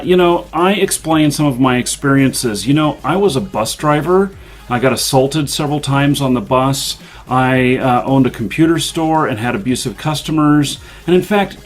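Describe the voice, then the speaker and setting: A man hosting a casual live show, rambling and filling pauses with ums and uhs, blunt and plain-spoken. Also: on the high side. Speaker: show host.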